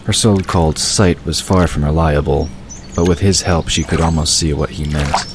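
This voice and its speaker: Male narrator, extremely deep, measured storytelling; hissy recording. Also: steady tone. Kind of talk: scripted audiobook narration. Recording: hissy recording with bird ambience.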